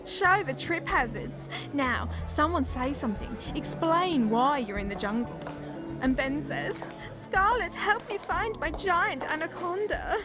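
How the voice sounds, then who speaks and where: High-pitched, volatile manipulative young girlfriend. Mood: animated storytelling. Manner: theatrical, guilt-tripping, self-pitying. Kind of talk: naturalistic radio drama acting.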